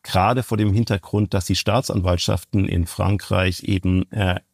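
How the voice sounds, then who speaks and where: A man's voice: low voice, fairly even intonation, moderate pace, creaky, dry recording, clean studio sound. A man who is an academic police expert, explaining in formal wording with hesitations.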